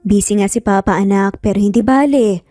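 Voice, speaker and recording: A woman voicing a calm, affectionate but firm male boss and father in a dramatised story. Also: medium pitch, fairly quick, no echo, clean studio sound, faint music behind, lightly acted. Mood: fatherly, gentle.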